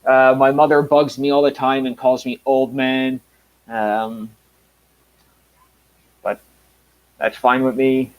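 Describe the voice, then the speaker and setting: A man's voice: mid-range voice, little pitch movement, slow pace, long pauses, poor audio. A man in his thirties hosting his own channel, chatting casually on a live stream with lots of fillers and restarts. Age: 30s. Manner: rambling, many fillers and restarts. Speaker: channel host.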